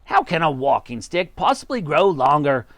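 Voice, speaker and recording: Nasty old husband played by a man, storybook schemer, lively pitch, gloating and mock solemn. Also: normal speed, dry recording. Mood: taunting. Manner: emphatic, taunting, mock-solemn.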